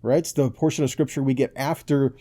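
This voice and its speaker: A man's voice: mid-range voice, fairly even intonation, brisk, dark tone. A man preaching in a conversational Bible-teaching style, emphatic and didactic, often putting questions to his listeners and checking that they follow. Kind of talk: conversational sermon, scripture teaching.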